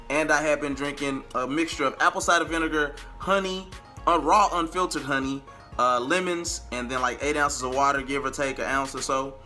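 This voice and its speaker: Man, American accent, bright forceful voice, casual slangy vlog talk, animated, faint music behind. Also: a little high. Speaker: vlogger and amateur singer.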